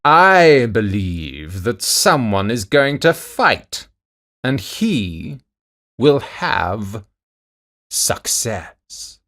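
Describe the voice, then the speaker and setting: Man as a slow, booming-loud giantess. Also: sing-song, full character voice. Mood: solemn. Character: solemn giantess foretelling a fight.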